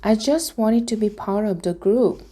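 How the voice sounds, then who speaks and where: A woman's voice: medium pitch, measured pace, warm tone, voice pushed up, lightly acted. A woman voicing a small young male dragon, chatty, needy and eager, in a chirpy, pleading manner for a storybook. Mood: pouty.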